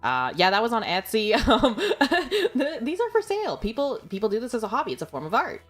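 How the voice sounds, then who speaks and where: Giggly, chatty streamer woman, lively pitch. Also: a little fast, faint music behind. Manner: chatty, giggly, rambling with fillers. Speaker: VTuber streamer. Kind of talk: casual livestream chat.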